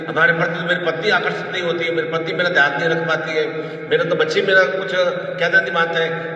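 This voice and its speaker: A man giving a spiritual discourse as a guru, telling a parable and preaching emphatically, his voice rising forcefully on key points. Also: on the high side, muffled recording. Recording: distant mic in a reverberant hall.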